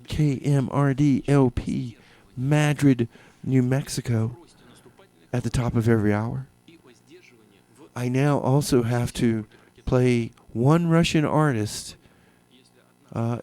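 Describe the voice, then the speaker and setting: A man's voice: medium pitch, dark tone. Casual, tongue-in-cheek male radio DJ. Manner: hesitant, tongue-in-cheek, repeats phrases for effect. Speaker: radio DJ and show host. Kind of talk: casual music radio DJ patter with comedy bits. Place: radio studio.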